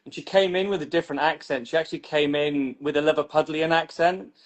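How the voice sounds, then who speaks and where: A man's voice: a little high, fairly even intonation, quick pace. A British man from Newcastle, a stand-up comedian chatting loosely about his act, full of "like" fillers and self-mockery. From Newcastle, England. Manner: rambling, many "like" fillers, self-mocking.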